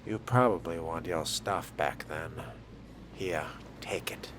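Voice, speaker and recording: A man role-playing a dry, smug young vampire in a video game, muttering deliberately and deadpan. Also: low voice, noisy background. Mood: calm, kind. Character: male vampire fledgling, dry, smug, self-amused loner.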